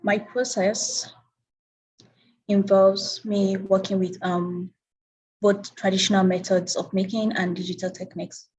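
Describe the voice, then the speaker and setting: A young Nigerian woman whose first language is Hausa, giving a measured talk about her work at an online symposium over a video call. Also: medium pitch, flat delivery, a little mellow, slightly rough, rough recording. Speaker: presenting artist.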